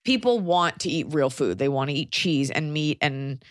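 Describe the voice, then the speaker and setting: A woman co-hosting a casual podcast, giving blunt, sarcastic opinions in quick bursts. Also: deep voice, lively intonation, dry recording, clean studio sound. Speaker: podcast co-host.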